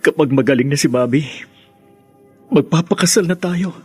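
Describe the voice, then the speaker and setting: An earnest young sweetheart and son in a radio melodrama. His voice is fairly high for a man, with a rough husk to it. He speaks fervently, openly full of feeling. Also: moderate pace, a little mellow, no room sound, soft background music. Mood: hopeful devotion.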